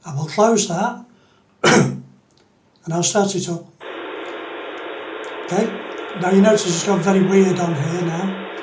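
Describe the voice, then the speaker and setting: A man's voice: sits high, steady tone, slow pace, pauses often, roomy sound, a little hiss or hum, low-quality recording. A man giving an unscripted screen-demo tutorial, explaining things step by step. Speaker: tutorial presenter.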